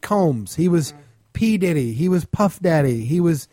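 A man's voice: on the high side, animated, a little slow, dark tone. A man chatting casually in blunt, scornful, emphatic rants full of swearing, as a guest commentator on a comedy podcast. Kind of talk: profane comedic ranting, casual chat.

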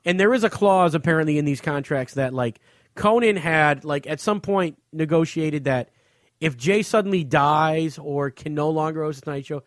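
A man with a noticeably high voice talks casually as a podcast host. He is blunt and profane as he offers his opinions, and the sound is close and dry. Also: normal speed, dry recording. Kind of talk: casual opinionated entertainment chat.

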